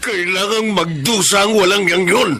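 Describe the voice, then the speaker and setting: A man's voice: high voice, fairly even intonation, moderate pace, music in the background. An actor playing an outraged, grieving middle-aged father with a Filipino accent in a melodramatic radio drama. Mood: vengeful rage. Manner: emotional, forceful. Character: outraged, grieving provincial father of abducted daughter.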